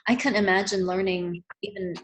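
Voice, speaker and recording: A woman's voice: mid-range voice, flat delivery, moderate pace, a little hiss or hum. A woman who grew up speaking Vietnamese, hosting a therapy training webinar in a friendly, encouraging manner over a video call. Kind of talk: webinar wrap-up chat.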